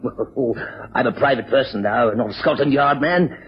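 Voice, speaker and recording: An actor playing a practical, affable former police detective in a radio drama, with an English accent, a husky voice and a matter-of-fact manner. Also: male voice, medium pitch, moderate pace. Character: former Scotland Yard man, practical, affable investigator. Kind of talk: 1950s-60s radio drama acting. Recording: dull old radio recording.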